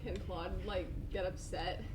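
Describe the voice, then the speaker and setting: A woman's voice: on the low side, steady tone, unhurried. A woman chatting casually as a player in a tabletop role-playing game. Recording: crowded room, group chatter, distant.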